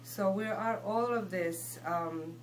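A woman from Guatemala with a Guatemalan Spanish accent, giving an informal spiritual talk in an earnest, reassuring, halting manner. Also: mid-range voice, slight background noise, poor audio. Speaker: shaman and healer, guest. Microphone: phone handed over.

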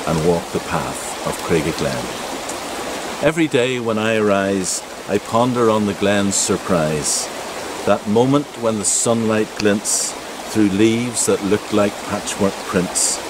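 A man in his sixties with a Northern Irish accent, reading verse in a measured, rhythmic way. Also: on the low side. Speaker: amateur poet. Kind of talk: poetry reading. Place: outdoors in a wooded glen by a stream. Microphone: camera mic a couple of metres away. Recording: loud rushing stream noise outdoors.

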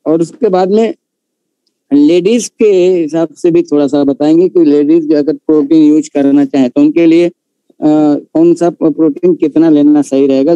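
A man's voice: fairly high pitch, mellow, smooth, dry recording. A man politely asking questions at length as a participant on an online call. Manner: wordy, courteous. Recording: muffled, dull online-call audio.

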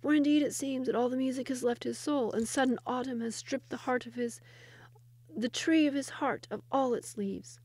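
A woman's voice: sits high, normal speed, darkish tone, pitched above the performer's own voice. Woman voicing an anxious, courtly father and royal adviser. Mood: worried. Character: concerned elder male wazir, father of the queen.

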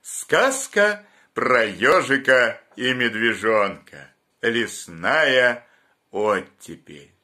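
A man in his fifties, an actor, reads a fairy tale in a slow, tender manner. His bright voice rises and falls widely as he tells it. It sounds close, recorded at a desk in a small room at home. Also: medium pitch, expressive. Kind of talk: storybook narration.

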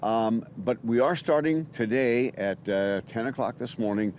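An older man working as a TV field reporter, chatting and haltingly interviewing outdoors on a handheld microphone. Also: a little mellow. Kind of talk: live TV interview. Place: outdoor city plaza at night. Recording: outdoor rumble, faint noise.

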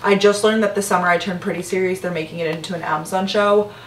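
A young woman in her twenties rambles cheerfully to her camera, peppering every thought with "like". She stands back from a camera on the kitchen counter, so her voice carries a roomy apartment echo over a steady background hum. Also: on the low side, fast talker, far from the mic. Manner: chatty, lots of "like" fillers, upbeat. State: slightly hoarse. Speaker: lifestyle vlogger. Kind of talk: casual vlog chat to camera. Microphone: camera on counter, some distance.

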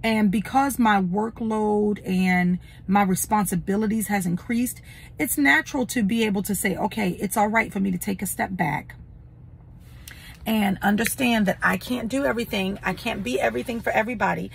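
Hoarse, gravelly woman life coach. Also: mid-range voice, moderate pace. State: slightly hoarse. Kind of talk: casual motivational talk to camera. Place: inside a parked car. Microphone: phone at arm's length. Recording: noticeable background noise.

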